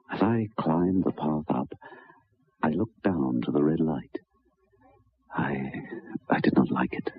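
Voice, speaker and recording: Husky, very low-voiced troubled gentleman. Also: heavily acted. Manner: formal, deliberate, halting with hesitations. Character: troubled, earnest gentleman recounting a ghostly tale. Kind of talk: old-time radio drama narration. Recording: dull vintage radio recording.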